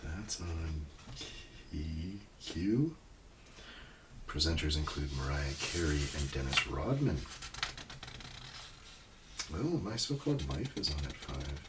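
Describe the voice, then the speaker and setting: A soft-spoken man doing casual ASMR, muttering as if to himself and slipping into whispers, in a roleplay style. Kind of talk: ASMR, casual muttering while browsing TV listings. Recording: clear background noise, page rustling and clicks. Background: page flipping and highlighting sounds.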